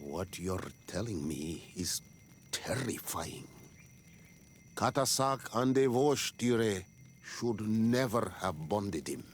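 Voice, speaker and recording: Slow, solemn island chieftain. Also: male voice, lowish voice, lively intonation, a little hiss or hum. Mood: troubled. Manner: solemn, deliberate, ceremonial. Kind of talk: dramatic video game dialogue.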